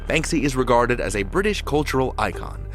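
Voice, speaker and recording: A man's voice: medium pitch, animated, brisk, fairly bright tone, faint music behind. A man reading scripted narration as a podcast announcer and host.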